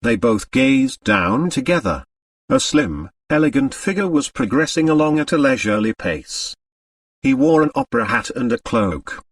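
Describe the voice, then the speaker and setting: A man narrating a story as an audiobook reader, in a measured, deliberate, dramatic scripted style. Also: mid-range voice, very animated, no room sound, recorded from a distance.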